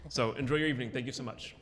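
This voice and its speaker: A man hosts an evening event and speaks quickly as he closes his introduction. He sounds a bit far from the mic, with long hall reverb and faint room noise behind him. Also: mid-range voice, fairly bright tone. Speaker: event host. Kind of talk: stage introduction. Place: stage in a large hall.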